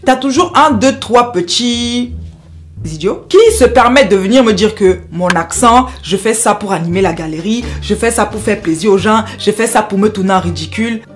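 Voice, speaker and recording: A woman's voice: mid-range voice, moderate pace, faint music behind. A woman from Cameroon with a Cameroonian French accent, speaking straight to her viewers in an impassioned vlog and repeating herself for emphasis. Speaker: YouTuber.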